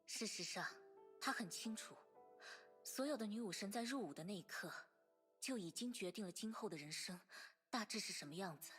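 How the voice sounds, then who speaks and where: A woman acting as a composed storyteller narrates a video game tale in a scripted, measured style. Her voice sits at a medium pitch, with faint music underneath. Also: expressive, a bit bright, close to an everyday voice. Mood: composed, solemn.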